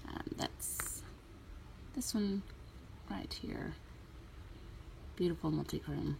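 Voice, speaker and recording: Woman, low voice, gravelly fry, casual tutorial, faint background noise. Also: slow. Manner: relaxed, chatty, many ums. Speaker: nail art vlogger.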